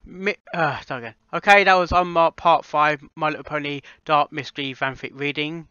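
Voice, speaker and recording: A man chatting casually and unscripted in a reaction vlog, halting and often repeating and rephrasing himself. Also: fairly high pitch, sharp tone, smooth, no echo, muffled recording. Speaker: amateur voice actor reviewing a fan fiction audio reading.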